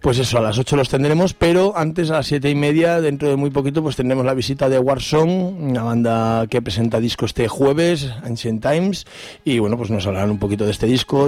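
Man, Spain accent, medium pitch, casual radio host, hesitant and informal, close dry studio mic. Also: normal speed, darkish tone, no echo. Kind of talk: casual live radio chat. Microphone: close studio radio microphone.

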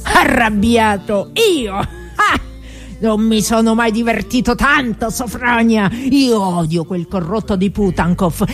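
A roguish old cook played by a woman speaks in a hoarse, cavernous voice. He is blustering yet tender, stretching words theatrically in a radio play, with music behind him. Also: fairly high pitch, pitched above the performer's own voice. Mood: gleeful bluster.

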